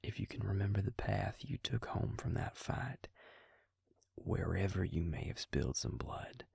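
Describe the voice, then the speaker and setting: A man playing a worried, protective, gruff but caring vampire in an intimate audio roleplay, with a breathy, gravelly murmur and a halting, earnest, pleading manner. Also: sits low, expressive, darkish tone, no room sound, studio quality, heavily acted. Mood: exasperated, pleading.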